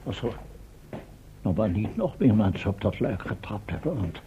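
An actor playing a pompous, easily affronted professor with formal, pedantic speech in a radio drama. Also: male voice, on the low side, sing-song, moderate pace, mellow, a little hiss or hum. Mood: fussy. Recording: muffled old radio recording.

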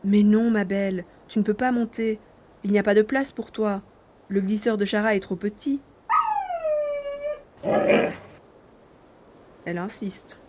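An eager young boy, played by a woman, tells and lives his adventure in an audiobook drama. He is earnest and stubborn, very expressive, with a devoted streak. The pitch sits in an ordinary female range. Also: moderate pace, noisy background, natural voice. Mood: doubtful. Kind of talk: dramatised audiobook, first-person narration and dialogue.

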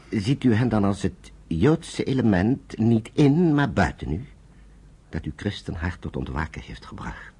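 An older retired general with a very husky, low voice. His delivery swings widely in pitch, formal and thoughtful, in the measured manner of a radio drama. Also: darkish tone, exaggerated voice. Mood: gentle. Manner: formal, deliberate, reflective, with dramatic pauses. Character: thoughtful retired English general, courteous and introspective.

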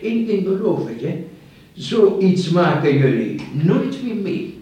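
A man's voice: sits high, big pitch swings, slow pace, dark tone, heavily acted. A man acting in a radio play as a smug, condescending doctor who leads a gang of crooks in a pompous, theatrical way. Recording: very echoey room, distant from mic.

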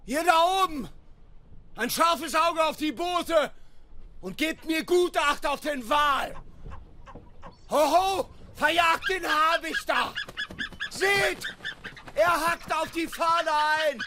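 An actor playing a loyal, troubled ship's mate in a German radio play, moving between hushed foreboding and hailing shouts. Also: male voice, very high-pitched, slow pace, long pauses, a little hiss or hum, exaggerated voice. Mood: urgent, hailing. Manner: theatrical, from hushed murmur to loud hailing shouts.

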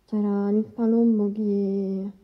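A woman's voice: mid-range voice, little pitch movement. An actress playing a young woman, shaken but defiant, testifying as a victim in a courtroom drama. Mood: shaken, halting. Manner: hesitant, halting testimony. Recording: slightly dull, muffled sound.

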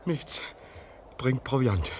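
A man's voice: mid-range voice, expressive, slow pace, a little hiss or hum. An actor playing a bitter, restless man at a polar station in a theatrical, sardonic German radio play. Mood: tense, urgent. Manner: theatrical, sardonic, repeats words.

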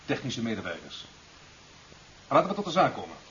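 An actor playing a stern, impatient president in a 1970s radio drama, speaking curtly and formally. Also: male voice, mid-range voice, wildly swooping pitch, fairly quick, a bit bright. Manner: curt, formal, reproachful. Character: stern, impatient male president, cutting and authoritative. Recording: dull, hissy 1970s radio recording.

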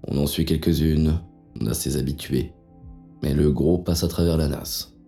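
Jaded, contemptuous detective narrator in noir audio drama; bottom-of-the-range pitch, gravelly fry, sardonic deadpan. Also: male voice, normal speed, faint music behind. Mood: cynical, scornful. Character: cynical, contemptuous male police inspector, hardboiled noir narrator.

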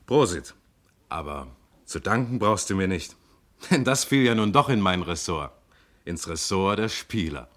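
An actor playing a self-assured police detective in an old German radio crime play, explaining things matter-of-factly. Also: male voice, low voice, animated, measured pace, a bit bright. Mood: amused, modest.

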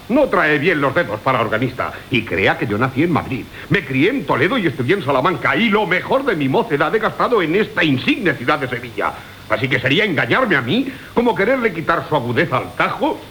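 An actor playing a boastful, wily rogue in a classical comedy radio play, florid and mock-courteous. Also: male voice, on the high side, sharp tone. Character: boastful, wily swaggering rogue of old Seville. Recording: hissy old radio recording.

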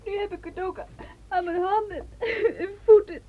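A woman's voice: extremely high pitch, steady tone, normal speed. An actress playing a little village girl with a rash, crying and pleading. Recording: dull lo-fi recording.

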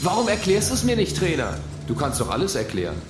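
Cheeky young swimmer, lively pitch. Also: male voice, fast. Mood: questioning. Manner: colloquial, cheeky. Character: very tall young male swimmer, questioning, cheeky gamer. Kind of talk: radio play acting. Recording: roomy echo, music behind.

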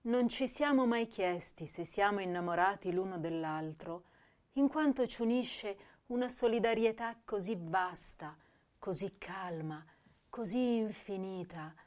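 An actress playing an intense, tender young woman poet, reading letters and verse in a lyrical, measured, dramatic way for a radio drama. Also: mid-range voice, darkish tone, natural voice. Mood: calm, tender.

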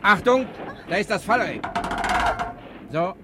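An actor playing a confident, daring rogue who passes as a sailor in a 1960 German radio crime drama. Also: male voice, high voice, animated, slow pace. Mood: hearty, brisk. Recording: noisy vintage radio drama recording.

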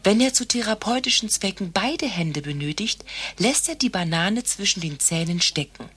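An actress playing a naive, dreamy young country woman with a breathy voice in a satirical radio play. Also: medium pitch, expressive, moderate pace, fairly bright tone. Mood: earnest, wistful.

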